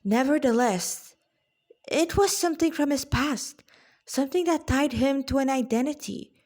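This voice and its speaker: A woman, an author reading her own story in a dramatic, deliberate way with informal asides. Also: sits high, a little mellow.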